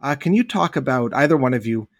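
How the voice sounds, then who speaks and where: A man's voice: medium pitch, animated, fast, no echo. Male host interviewer, friendly, dry recording. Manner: friendly and affirming. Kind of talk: conversational interview.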